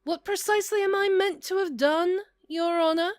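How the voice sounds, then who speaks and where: A woman's voice: very high voice, fairly even intonation, normal speed, dry recording, studio quality. A woman playing a haughty, flippant, volatile god with an arch, theatrical manner in an amateur comic dub. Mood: smug, flippant. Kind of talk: amateur fandub voice acting.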